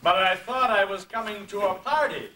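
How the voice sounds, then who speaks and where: A man's voice: high voice, moderate pace, sharp tone. A man voicing a pompous, courtly royal elephant puppet in a grandiose, declamatory stage manner, on an amateur camcorder recording. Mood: fussy, courtly. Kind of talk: theatrical puppet show acting. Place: indoor convention stage, distant camera. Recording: roomy, distant amateur camcorder, faint noise.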